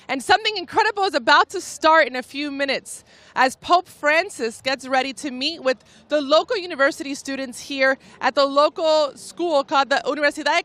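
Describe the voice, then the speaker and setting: A woman's voice: high voice, a little fast, fairly bright tone. A woman hosting a live broadcast, presenting with upbeat enthusiasm. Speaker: host.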